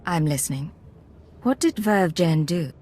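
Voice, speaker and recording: An actress playing a poised, persuasive secret agent in a video game, controlled and smooth-talking. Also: female voice, fairly low pitch, expressive, unhurried, darkish tone, slight background noise. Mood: coaxing.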